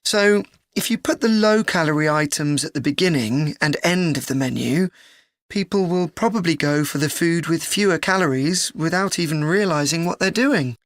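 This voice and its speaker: A woman's voice: low-pitched, moderate pace, dry recording, studio quality, natural voice. A woman playing an earnest university student in a scripted educational dialogue. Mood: earnest, thoughtful. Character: earnest university student discussing a joint presentation.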